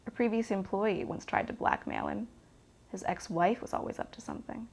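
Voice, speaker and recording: A woman's voice: medium pitch, wildly swooping pitch, a little fast, gravelly, a little mellow, exaggerated voice. A woman playing a candid, self-assured girlfriend, speaking frankly in a dramatised documentary interview. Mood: confiding.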